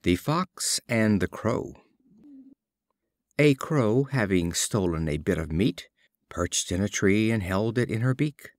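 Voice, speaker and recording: A man narrating short fables as a scripted storybook reading, in a deliberate, expressive storytelling manner. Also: on the low side, no room sound, clean studio sound. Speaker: audiobook narrator.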